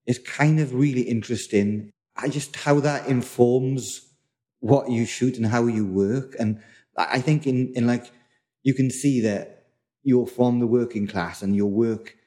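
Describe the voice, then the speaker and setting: A man's voice: mid-range voice, warm tone. A middle-aged man from South Wales co-hosting a casual podcast chat, rambling and restarting as he hunts for words. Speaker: podcast co-host.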